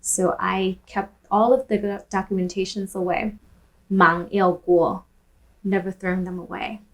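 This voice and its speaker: Woman teaching Taishanese phrases slowly. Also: mid-range voice, level tone, poor audio. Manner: repeats foreign phrases slowly, then translates them. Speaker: language teacher and host. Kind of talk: language lesson with film clips.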